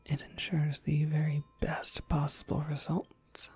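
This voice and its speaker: A woman playing a courteous, businesslike witch shopkeeper in a soft, measured ASMR whisper. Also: low-pitched, little pitch movement, husky, no room sound, exaggerated voice. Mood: patient, explanatory. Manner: measured, polite, gently explanatory.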